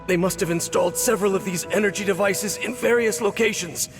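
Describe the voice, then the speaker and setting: A man's voice: high-pitched, music in the background, close to an everyday voice. A man voicing a grave stoic swordsman. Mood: urgent. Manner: measured, solemn, commanding. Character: grave stoic male swordsman captain, reserved. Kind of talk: video game cutscene voice acting. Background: game score.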